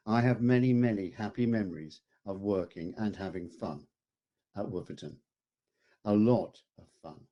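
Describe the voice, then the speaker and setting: Male, sixties, low and very mellow, reading a prepared congratulatory message. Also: highs cut off. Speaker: former engineering manager. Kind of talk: scripted tribute message.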